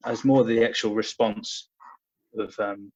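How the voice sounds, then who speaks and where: A man's voice: fairly low pitch, fairly even intonation, unhurried. A young man talking casually as a podcast guest, hesitant and full of fillers and restarts. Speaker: podcast guest, personal trainer. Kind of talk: casual conversational storytelling. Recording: dull lo-fi audio.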